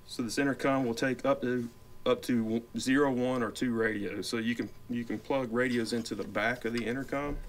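A man in his forties talks through a product in a conversational, slightly hesitant way. His voice sits a little low and barely varies in pitch. It is recorded indoors with clear room noise behind him. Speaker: company product expert. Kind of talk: informal product walkthrough. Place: indoor office workbench.

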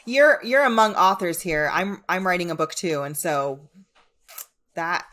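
An American woman in her thirties or forties hosting a podcast in casual, expressive, effusive chat over a video call from her living room. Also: on the low side, unhurried. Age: 30s to 40s. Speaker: podcast host. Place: living room at home, indoors. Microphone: video call, wired earbuds worn.

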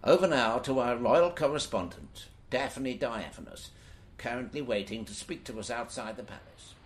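A man's voice: medium pitch, normal speed, natural voice. A man acting a formal, presentational newscaster in a radio play. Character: male newscaster reporting royal wedding rumours. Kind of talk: scripted news bulletin in radio play.

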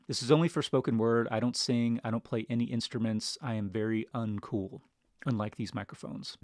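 A man's voice: fairly low pitch, a little mellow, dry recording. A man in his thirties explaining a product to camera as a tech reviewer, recorded close on a broadcast microphone. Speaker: tech reviewer, video host. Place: small home studio room, indoors. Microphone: close broadcast microphone on desk stand.

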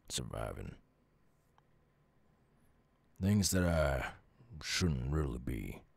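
Very deep, gravelly Southern werewolf. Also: male voice, darkish tone, no echo, exaggerated voice. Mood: brooding. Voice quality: gravelly, husky. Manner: halting, low drawl. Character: gruff wounded male werewolf outcast, weary and guarded. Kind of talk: slow ASMR audio roleplay.

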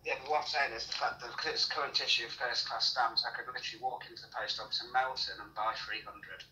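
Fast-talking English man on distant speakerphone. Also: medium pitch, fairly even intonation. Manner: polite, matter-of-fact. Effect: phone loudspeaker. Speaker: call recipient, stamp buyer. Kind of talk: casual phone conversation. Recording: distant, noisy, echoey speakerphone.

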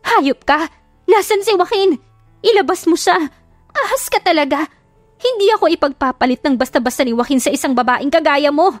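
A woman's voice: sits high, expressive, normal speed, dry recording, soft background music, voice pushed up. A woman voicing a fierce, heartbroken young working-class woman in an audio drama, insistent and confrontational. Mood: enraged. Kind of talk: audio drama storybook acting.

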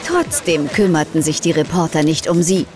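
A woman reads scripted audio drama narration. Her voice is fairly low, and music plays clearly behind her storytelling. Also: normal speed.

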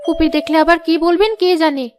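An extremely high, small-sounding female cartoon voice performed by a woman. She plays a dramatic, expressive woman of a family household in scripted dialogue. Faint music runs underneath. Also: quick pace. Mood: anxious, self-talking. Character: women of a family cartoon: fussy aunt, anxious young bride.

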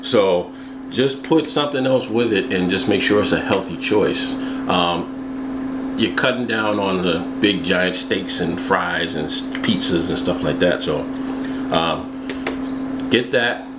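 A man in his forties with a very low, deep voice chats casually to the camera from a room at home. He keeps it relaxed and throws in jokey asides. The room sounds echoey, with clear noise in the background. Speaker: vlogger. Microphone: external mic on top of the camera.

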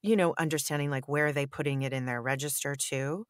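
A woman who narrates audiobooks, with a deep, raspy voice, chatting enthusiastically in an interview and often saying "you know.". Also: fast, dry recording, studio quality. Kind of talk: conversational interview answers.

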